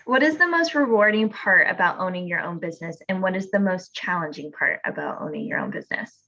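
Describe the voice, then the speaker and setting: A woman interviewer asking a clear, measured question in an interview. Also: lowish voice, very animated, bright, forceful tone, dull audio.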